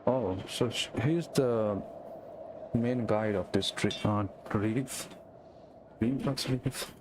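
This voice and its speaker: A man's voice: on the low side, slow, background noise. A man giving casual game playthrough commentary, reacting off the cuff and reading on-screen text aloud with some stumbles. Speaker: game streamer.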